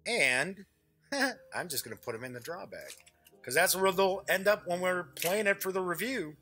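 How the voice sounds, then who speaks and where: A man with a bright, forward voice talks through an unboxing off the cuff. His pitch moves around in a lively way as he chats, jokes and mutters little asides to himself. Faint music sits behind him. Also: normal speed. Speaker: host of a tabletop game review show. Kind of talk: casual off-the-cuff unboxing commentary.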